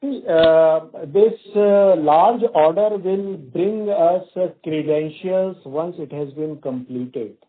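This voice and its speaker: A man's voice: on the high side, takes it slowly, few pauses. Hesitant man on a tinny phone line. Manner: hesitant, many fillers and restarts. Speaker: company executive answering analysts. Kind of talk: earnings call answers.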